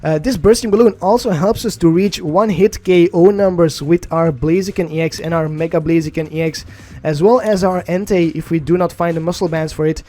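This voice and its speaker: A man's voice: a little high, fairly quick, dark tone. A young man whose first language is Dutch, casually commenting on an online card game in a chatty, rambling way, often correcting himself. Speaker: gaming channel host.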